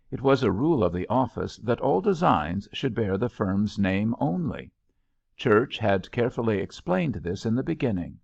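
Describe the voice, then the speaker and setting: Male narrator for literary audiobook. Animated intonation, creaky low voice, expressive measured phrasing, slightly muffled recording. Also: no echo. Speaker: audiobook reader.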